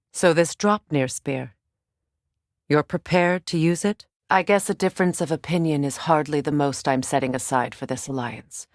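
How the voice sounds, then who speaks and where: Video game warrior sorceress, female actor: lowish pitch, very dry sound, guarded and measured, with a dry, pointed edge of distrust. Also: dry recording, lightly acted. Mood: guarded, cool. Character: guarded, battle-hardened warrior goddess and sorceress, wary ally. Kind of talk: dramatic video game character acting.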